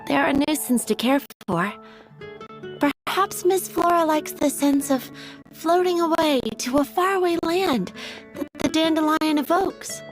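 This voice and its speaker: Sweet, very high-voiced young flower girl. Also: a little slow, poor audio. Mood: polite, cheerful. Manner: polite, earnest, hesitant. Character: sweet, timid young female flower seller. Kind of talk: anime game voice acting. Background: faint music.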